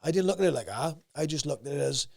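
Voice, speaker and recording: Gruff, sweary Welsh valleys man. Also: fairly high pitch, expressive, fast, darkish tone. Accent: South Wales valleys. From Wales. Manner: blunt, sweary, straight-talking. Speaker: podcast guest. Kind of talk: casual interview chat.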